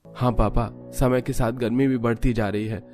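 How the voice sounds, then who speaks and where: A man's voice: mid-range voice, fairly even intonation, moderate pace, a little mellow, soft background music, close to an everyday voice. Earnest young family man played by a man. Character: young adult son and husband in a family. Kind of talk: Hindi cartoon story acting.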